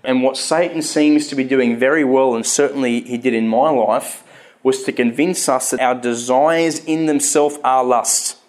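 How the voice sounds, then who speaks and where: A man's voice: medium pitch, moderate pace, darkish tone. A man in his thirties with a stutter, giving a candid, earnest personal talk on faith to a live audience, often restarting his words.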